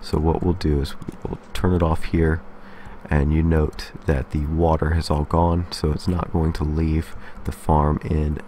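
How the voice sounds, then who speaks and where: A man's voice: a bass voice, flat delivery, a little slow, mellow, a little hiss or hum. A man hosting a casual game building tutorial, explaining methodically step by step and often checking in with a short okay. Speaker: tutorial host.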